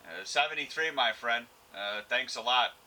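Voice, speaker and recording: Male ham radio operator and video host, casual and halting, average pitch, very noisy radio-static backdrop. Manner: halting, uses radio procedure words and callsigns. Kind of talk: casual ham radio contact with on-camera commentary. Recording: loud radio static and noise. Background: radio receiver noise and static.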